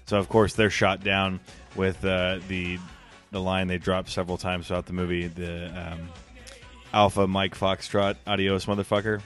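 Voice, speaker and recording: A man co-hosting a casual film podcast, telling and debating the story in a measured, tongue-in-cheek way. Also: deep voice, little pitch movement, a bit bright, soft background music. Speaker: podcast co-host.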